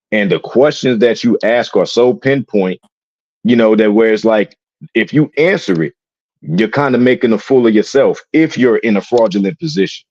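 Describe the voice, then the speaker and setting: A man joining a talk show discussion, speaking haltingly with frequent restarts and fillers. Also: medium pitch, darkish tone, no echo. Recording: dry, dull lo-fi mic.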